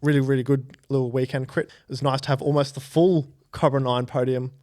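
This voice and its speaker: A man's voice: medium pitch, level tone, fairly quick, a little mellow. A man co-hosting a casual chat in a jokey way. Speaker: podcast co-host.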